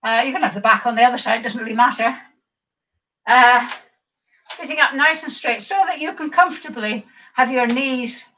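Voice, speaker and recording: A woman over fifty with a croaky voice, leading a seated exercise class, counting reps and adding chatty asides. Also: a little high, bright, forceful tone. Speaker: fitness instructor. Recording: distant mic, dull lo-fi sound.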